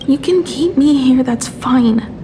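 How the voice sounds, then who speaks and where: An actress playing a frail, defiant and wistful young painter in a video game drama. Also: female voice, high voice, slow, warm tone, slight background noise.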